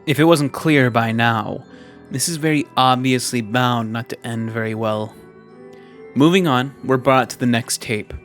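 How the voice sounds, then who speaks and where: Male video essayist, medium pitch, scripted commentary, measured wry delivery, stretched emphasis, light background music.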